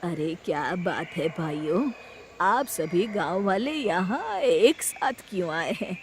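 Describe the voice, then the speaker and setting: A woman's voice: lowish voice, lively intonation, slow, slight background noise. A woman voicing a troubled, plaintive village headman in a storybook tale. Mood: concerned. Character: troubled village headman, adult man, complaining.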